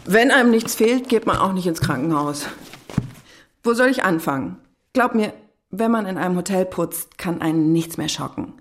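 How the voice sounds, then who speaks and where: Actress as a low-voiced, chattering cleaning woman. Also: expressive, moderate pace, a little hiss or hum, close to an everyday voice. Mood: chatty, blunt. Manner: garrulous, coarse, tumbling from topic to topic. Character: chatty, blunt, big-hearted cleaning woman. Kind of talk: audio drama dialogue.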